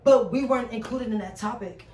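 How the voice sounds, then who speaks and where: A woman's voice: a little high, a little fast, a little hiss or hum. Young woman chatting in a roomy space. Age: 20s. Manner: animated, holds the floor. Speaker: podcast co-host. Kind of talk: casual chat. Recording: roomy echo, mic at a distance.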